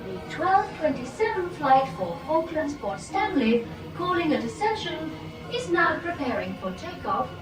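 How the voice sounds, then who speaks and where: A woman's voice: high-pitched, very animated, unhurried, over music. An actress in a radio drama playing a female announcer who makes formal public address calls. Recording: echoey, distant announcement speaker.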